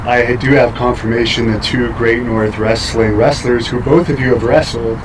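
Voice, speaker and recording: Flat-voiced male interviewer. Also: mid-range voice, moderate pace, recorded from a distance, background noise. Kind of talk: interview questions.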